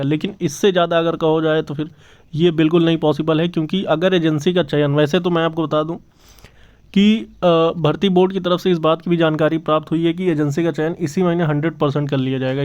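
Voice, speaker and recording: A man's voice: fairly high pitch, darkish tone, smooth. A man hosting his channel's job exam news update, talking earnestly and repeating himself with many fillers. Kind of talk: casual news update to camera. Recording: slightly dull lo-fi audio.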